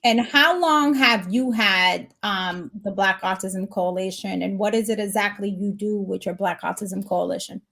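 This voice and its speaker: A woman hosting a casual interview chat, lively and animated, hyping up her guest. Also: mid-range voice, moderate pace, creaky, hardly any pauses. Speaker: host.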